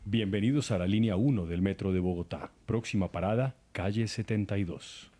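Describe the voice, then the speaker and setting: Husky low-voiced man. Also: fairly quick, mellow. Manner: nervous, formal announcer read. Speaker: radio co-host.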